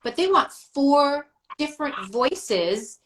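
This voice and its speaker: A woman's voice: high-pitched, big pitch swings, slow, fairly bright tone. A middle-aged woman, a voice actress, chatting as a guest on a live video call, rambling through memories with fillers and laughs. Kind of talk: casual livestream chat.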